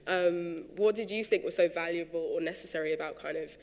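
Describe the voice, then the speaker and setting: Flat-voiced male moderator in a theatre. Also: a little high, fairly quick, long reverb tail. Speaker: panel moderator. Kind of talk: moderating a panel talk. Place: large theatre stage.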